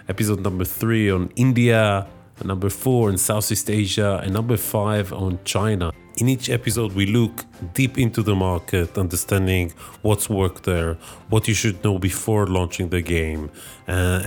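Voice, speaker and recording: A man hosting a podcast interview, speaking in a polite, hesitant way with many fillers. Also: sits low. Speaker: podcast host.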